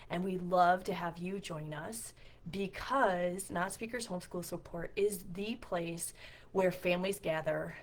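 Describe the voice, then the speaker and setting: Middle-aged woman with a scratchy, lowish voice. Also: gravelly. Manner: earnest, direct address with questions to viewers. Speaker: homeschool community founder and co-leader. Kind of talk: casual direct-to-camera vlog talk.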